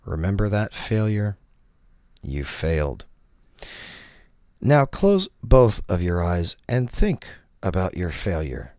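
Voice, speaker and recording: A man narrating a scripted parody guided meditation, giving instructions in a deadpan, condescending manner. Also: deep voice, slow, pauses often, no echo, studio quality.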